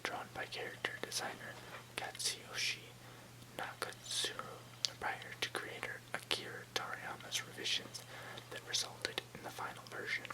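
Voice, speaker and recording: Constant soft whisper, ASMR article reading. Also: normal speed, poor audio. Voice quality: soft, breathy unvoiced whisper.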